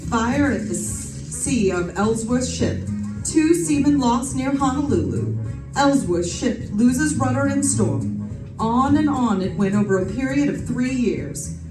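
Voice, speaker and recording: A woman's voice: on the high side, expressive, unhurried. A woman playing a wisecracking, breezy female private detective, wry and playful, in 1940s radio drama style. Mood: brisk storytelling. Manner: wry, playful, theatrical delivery. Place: live stage performance in a room. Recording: roomy stage, distant mic, music behind.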